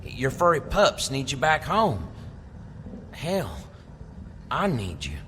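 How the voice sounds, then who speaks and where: Brash joker, bright and very lively, reverberant room. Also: male voice, medium pitch, takes it slowly, slight background noise, exaggerated voice. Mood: tender. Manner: brash, joking, crude. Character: brash, crude adult male adventurer.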